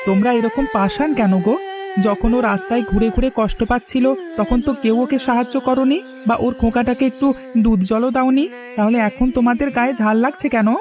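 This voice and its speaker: A woman's voice: on the high side, steady tone, fairly quick, close to an everyday voice. A woman voicing a kind-hearted but defiant village man who is thought mad, speaking bluntly in a cartoon. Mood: indignant defending. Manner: blunt, indignant, protective. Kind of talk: cartoon voice acting.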